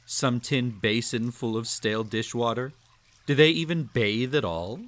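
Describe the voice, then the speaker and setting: A man's voice: medium pitch, lightly acted. A man playing a cruel, possessive emperor in a roleplay audio, speaking with haughty, silky condescension. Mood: amused, condescending. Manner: haughty, condescending, drawn-out teasing delivery with soft chuckles. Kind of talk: roleplay audio acting, intimate second-person monologue.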